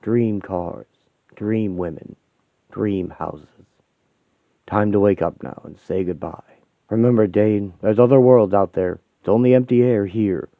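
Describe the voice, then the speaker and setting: A man playing a cryptic old mentor in a story, solemn and coaxing, with grand, measured phrasing. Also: fairly low pitch, gravelly, no echo. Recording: thin phone-like sound.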